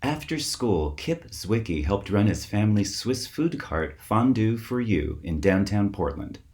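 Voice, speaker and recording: A man reading a children's story aloud as its author, with expressive, theatrical emphasis. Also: fairly low pitch, measured pace. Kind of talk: scripted storybook narration for children.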